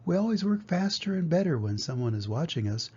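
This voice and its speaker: A man's voice: fairly high pitch, very animated, brisk, a little mellow, slightly rough, highs cut off, voice pushed up. Man reading as a confident steam shovel operator.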